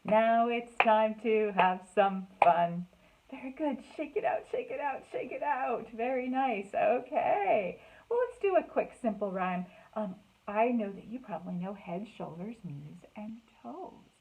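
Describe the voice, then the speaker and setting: A woman hosting a children's storytime, speaking in an expressive, sing-song way to young listeners, in slightly dull, lo-fi sound. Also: on the high side, unhurried, gravelly. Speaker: library storytime host. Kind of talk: children's storytime, read-aloud and singalong.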